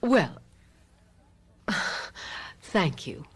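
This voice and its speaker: An actress playing a vain, excitable middle-aged society lady and mother, genteel and gushing, in an old-time radio drama. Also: lowish voice, very slow, slightly rough, full character voice. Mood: flustered.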